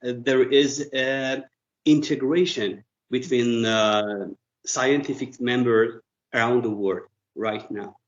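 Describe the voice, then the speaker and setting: A man speaks slowly as an interview guest, pausing often and filling gaps as he thinks. His voice comes through a dull, lo-fi recording. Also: mid-range voice, fairly even intonation. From Iran. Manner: thoughtful, halting with frequent fillers. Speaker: guest expert. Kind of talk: interview conversation in English.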